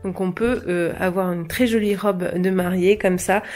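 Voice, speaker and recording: A woman's voice: lowish voice, steady tone, normal speed, a little mellow. A young woman chatting casually to camera about clothes she bought, hesitant, with many fillers and small laughs. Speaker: fashion vlogger. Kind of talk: casual haul chat to camera.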